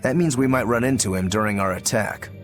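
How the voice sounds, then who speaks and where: An actor playing an odd, earnest warrior in a fantasy game, with a plain-spoken, literal manner. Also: male voice, on the low side, brisk, soft background music, natural voice. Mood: matter-of-fact. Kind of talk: dubbed fantasy game acting.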